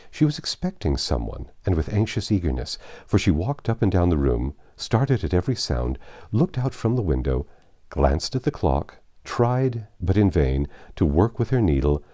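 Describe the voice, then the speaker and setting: A man reads a classic story as an audiobook narrator. His voice is low and mellow, with wide, dramatic swings of intonation and deliberate phrasing. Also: no echo, clean studio sound.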